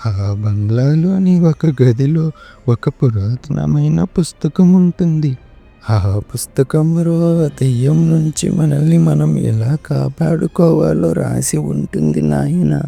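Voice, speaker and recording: A woman's voice: low voice, slow, vocal fry, mellow, slightly rough, exaggerated voice. A woman voicing a worried, kindly old villager in a horror cartoon, earnest and cautioning. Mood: grave warning. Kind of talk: horror cartoon story dialogue. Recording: music behind the voice.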